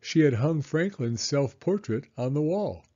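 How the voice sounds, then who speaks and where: Guy reading a kids book out loud, expressive, kinda husky and warm tone. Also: fairly high pitch, measured pace, dull audio. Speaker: storybook narrator. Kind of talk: children's read-aloud narration.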